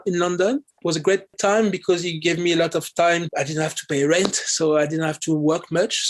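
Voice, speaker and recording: A middle-aged man with a fairly high voice talks casually as a guest in an interview. He speaks in a halting way, restarting and repeating phrases as he goes. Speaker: interview guest, electronic musician.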